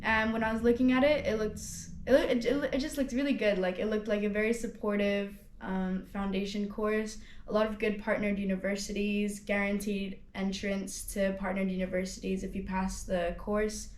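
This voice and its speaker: A woman's voice: medium pitch, flat delivery, normal speed, few pauses, slight background noise. A nineteen-year-old woman from Hong Kong, a student ambassador, chatting casually about her studies with many "like"s and restarts. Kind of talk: casual testimonial interview. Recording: roomy echo.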